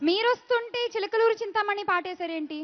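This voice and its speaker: Female event host, very high voice, dull live broadcast sound with music clearly behind her. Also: a little fast. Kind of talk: stage hosting.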